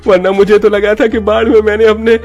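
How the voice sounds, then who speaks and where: Man acting a pleading poor village father, raised pitch. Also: little pitch movement, fairly quick, darkish tone, highs cut off. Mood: tearful relief. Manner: pleading, emotional. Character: poor young father Manohar, gentle, desperate, pleading. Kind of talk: melodramatic Hindi moral-story voice acting.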